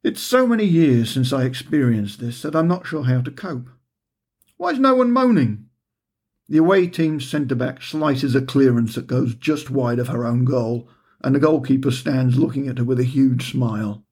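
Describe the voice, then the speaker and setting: Middle-aged man, husky voice, dry wry audiobook narration, understated humour, author reading his own story. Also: medium pitch, lively intonation, moderate pace, darkish tone. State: recovering from a heavy cold.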